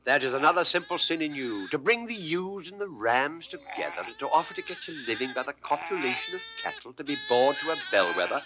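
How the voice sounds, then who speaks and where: An actor playing a witty, mocking court jester in a classical Shakespearean audio drama, theatrical and mock-pompous in delivery. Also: male voice, on the high side, background noise. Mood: teasing. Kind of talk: classical Shakespearean stage acting.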